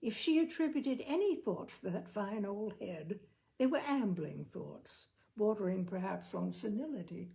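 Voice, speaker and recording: A woman narrating a literary short story expressively, with measured, careful phrasing. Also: mid-range voice, animated, creaky, mellow, poor audio. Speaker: audiobook reader, storyteller.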